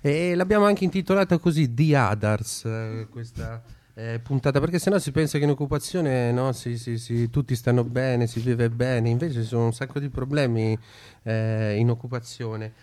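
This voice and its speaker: A man hosting a casual radio show in a halting, hesitant way. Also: mid-range voice, darkish tone.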